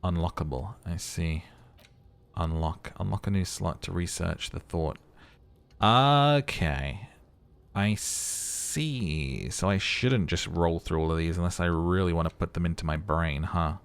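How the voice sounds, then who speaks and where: Man, very low pitch, creaky gravelly tone, game playthrough host, casual commentary with dramatic read-aloud narration. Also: unhurried. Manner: thinks aloud, muttering and humming to himself.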